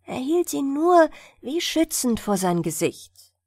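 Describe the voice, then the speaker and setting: Slow, expressive woman reading fairy tales. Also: high-pitched, dry recording, clean studio sound. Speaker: audiobook narrator. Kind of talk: bedtime fairy-tale narration.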